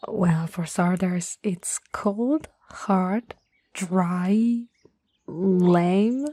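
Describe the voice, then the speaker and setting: A woman acts out a tall young woman who teases gently and cares a lot, in an intimate ASMR roleplay. Her voice is soft and breathy with a little husk. She takes her time, coaxing playfully, and the sound is very dry. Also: fairly low pitch, extremely slow, dry recording. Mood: playful teasing. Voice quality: soft, breathy, slightly husky. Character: tall, teasing, caring young woman, former childhood friend.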